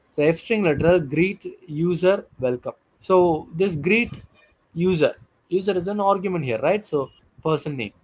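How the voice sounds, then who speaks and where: A man with a somewhat high voice walks through code as a programming instructor. He poses questions and answers them himself. He often checks in with "okay" and "right". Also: rough recording. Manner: explanatory, asks and answers his own questions; frequent "okay" and "right" tags. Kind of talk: screen-share coding lesson.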